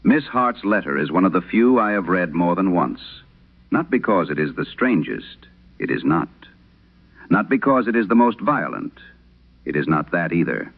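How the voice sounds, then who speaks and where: A man narrating a vintage late-night radio horror show, reading letters in a solemn, measured, literary way through an old radio filter. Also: sits low, big pitch swings, no room sound, narrow, tinny audio. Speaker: horror audio drama host reading letters. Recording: band-limited, dry vintage radio sound.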